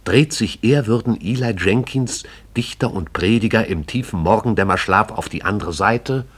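Husky male radio-play narrator, low voice. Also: normal speed. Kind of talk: scripted radio drama narration.

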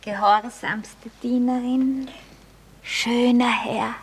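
A woman's voice: a little high, fairly even intonation, drawn-out words, slightly rough, full character voice, poor audio. An actress playing a naive, kindly young goose girl with an Austrian accent, simple and wondering, in a Viennese radio comedy. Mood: shy, admiring. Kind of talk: classic Viennese stage comedy radio acting.

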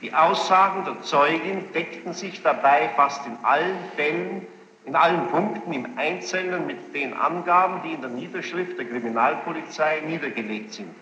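A man questioning a witness as a presiding judge, formal and probing, choosing his words deliberately with pointed challenges. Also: a little high, bright, forceful tone. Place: large reverberant courtroom. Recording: muffled, noisy archival courtroom tape, echoing hall.

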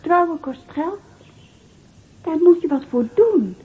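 A gently nagging village sweetheart. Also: female voice, very high voice, animated, slow pace, full character voice. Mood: coaxing. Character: young village woman in a dream, coaxing. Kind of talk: radio play acting. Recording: tinny phone-like sound.

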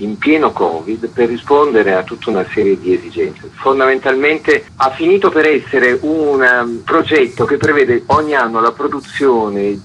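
Mid-pitched man explaining in an interview. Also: a bit bright, slight background noise, rough recording. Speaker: arts organiser, interview guest. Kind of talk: spontaneous explanatory interview answers.

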